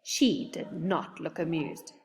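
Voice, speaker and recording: A woman reading a children's story aloud in an expressive narrating style. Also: low-pitched, slow pace, vocal fry, in a large hall. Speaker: storybook reader.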